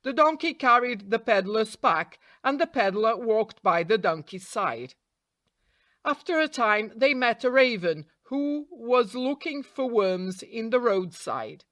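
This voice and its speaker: A woman narrating a children's fairytale as a storyteller, reading in a deliberate, expressive storybook manner. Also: a little high, dry recording. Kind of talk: scripted fairytale narration for English learners.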